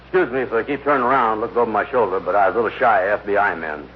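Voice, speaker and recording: An actor playing a gullible, easygoing sidekick in an old-time radio comedy, with folksy, ungrammatical speech. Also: male voice, fairly low pitch, very fast, close to an everyday voice. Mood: wary, bluffing. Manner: folksy, ungrammatical, plain-spoken. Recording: muffled, very hissy old radio recording.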